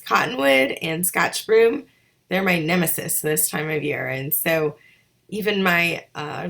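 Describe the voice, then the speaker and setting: Hoarse woman giving school announcements. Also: sits low, fairly bright tone. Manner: chatty, upbeat, rambling.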